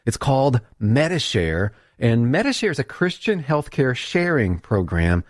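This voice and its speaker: A man's voice: mid-range voice, sing-song, unhurried, no room sound, clean studio sound. A man delivering a scripted radio advertisement in a conversational, direct-address style. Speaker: advertisement announcer.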